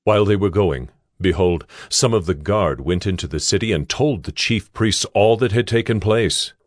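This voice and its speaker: Deep male bible narrator, solemn and measured, music clearly behind. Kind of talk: solemn scripted scripture narration.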